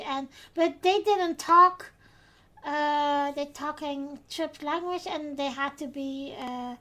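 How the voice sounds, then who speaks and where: A Dutch woman hosting a podcast, with a notably high voice. She speaks English as a second language, hesitating often and filling pauses with "uh" and "um." The tone is relaxed and chatty. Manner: hesitant, many filler sounds. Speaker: podcast host. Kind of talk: casual podcast chat.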